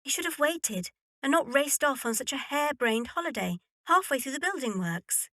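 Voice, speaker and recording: Arch, high-voiced young lady played by an actress. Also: expressive, normal speed, sharp tone, dry recording, studio quality. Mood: cool, questioning. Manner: formal, arch, decided. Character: Paula, wealthy self-possessed young lady, coolly opinionated. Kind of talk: period radio drama acting.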